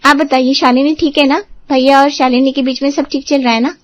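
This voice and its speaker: A woman's voice: sits high, flat delivery, a little fast, no echo, clean studio sound, close to an everyday voice. A woman playing a guarded, slightly impatient young married daughter in a Hindi family drama, on a muffled track. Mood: guarded, composed. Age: young adult.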